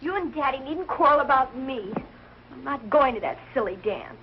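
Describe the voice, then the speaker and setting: High-pitched American teenage girl. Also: expressive, normal speed. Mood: hurt, defensive. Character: sensitive American high-school girl, proud and easily embarrassed. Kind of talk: 1940s television sitcom acting. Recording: dull, hissy old television soundtrack.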